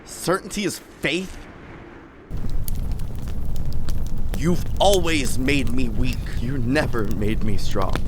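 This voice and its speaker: A man's voice: medium pitch, slight background noise, natural voice. A man playing a cold, disdainful Kryptonian superhero in a fan radio play, speaking in a stilted, haughty way. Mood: stern.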